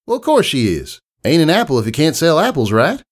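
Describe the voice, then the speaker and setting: A friendly farm mare from a storybook, acted by a man, hearty and plainspoken. The pitch is raised well up and moves in a lively way. The wording is folksy, rural and down-to-earth. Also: fairly quick. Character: friendly hardworking country mare, farm apple seller.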